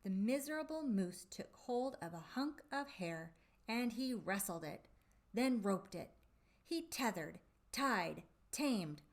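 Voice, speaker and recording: Female children's storybook reader. Unhurried, very melodic delivery, theatrical emphasis, dramatic pauses. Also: mid-range voice, animated. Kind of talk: expressive read-aloud of a children's picture book.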